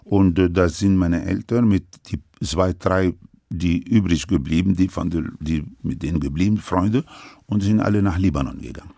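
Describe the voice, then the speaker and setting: An older man who grew up speaking French in Lebanon, recounting his life story in halting, broken sentences full of restarts. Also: low voice, creaky, dark tone, no echo, studio quality. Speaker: interviewee.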